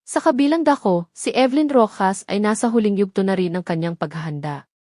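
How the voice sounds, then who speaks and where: A woman's voice: mid-range voice, a little fast, warm tone, no room sound, studio quality. A woman with a Filipino accent reading a scripted dramatic story as its narrator.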